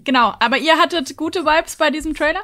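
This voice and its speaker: Woman, fairly high pitch, casual chat, podcast co-host guiding the discussion. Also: fast, sharp tone, a little hiss or hum.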